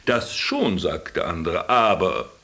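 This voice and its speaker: A worldly former businessman in a radio drama. His delivery swings widely in pitch, dry and ironic, teasing like a mock lecturer. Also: expressive, slow pace, bright, forceful tone, heavily acted. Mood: joke-telling. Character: sardonic former businessman stranded in a camp, teasing his companion. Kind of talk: German radio play dialogue.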